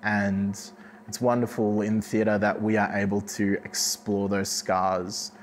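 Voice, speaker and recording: A man's voice: fairly low pitch, flat delivery, takes it slowly, slight background noise. A man, a youth arts director, speaking in a reflective, explanatory documentary interview. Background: soft music.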